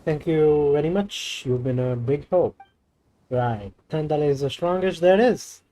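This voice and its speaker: Mellow man reading game dialogue. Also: a little high, measured pace, slight background noise. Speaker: game streamer. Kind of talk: reading game dialogue aloud while playing.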